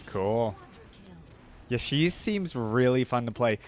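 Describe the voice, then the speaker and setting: A man giving casual reaction commentary as a video host, informal and rambling as he thinks out loud. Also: medium pitch, lively intonation, slow.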